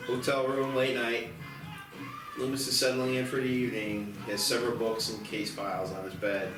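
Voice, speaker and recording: A man's voice: mid-range voice, little pitch movement, moderate pace. A man narrating a screenplay, reading out the stage directions at a table read. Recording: distant echoey room, clear music behind.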